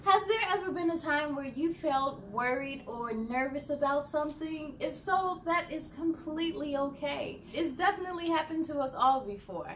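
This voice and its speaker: High-pitched woman reading to children. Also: expressive, moderate pace, hardly any pauses, fairly bright tone, a little hiss or hum. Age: adult. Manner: encouraging, addresses listeners directly. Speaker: host of a children's read-aloud show. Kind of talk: storybook read-aloud with chatty asides to young viewers.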